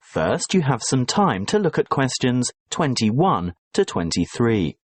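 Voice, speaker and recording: A man reading the instructions of a recorded English listening exam in a clear, measured way. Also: medium pitch, big pitch swings, no room sound. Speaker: exam narrator and actor. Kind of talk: scripted recorded listening test.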